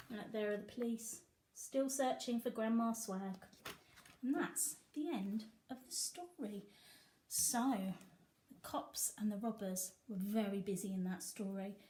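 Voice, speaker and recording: A woman's voice: medium pitch, warm tone, slight background noise. A woman reading a picture book expressively to children, emphatic and sing-song on rhymes.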